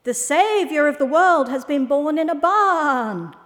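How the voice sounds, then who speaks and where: Storybook sheep, played by a woman: thrilled, eager, joyful, pitched high, ringing in a long reverb. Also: expressive, slow pace, exaggerated voice. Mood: excited, joyful. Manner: eager, exclaiming. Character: excited, joyful sheep spreading good news.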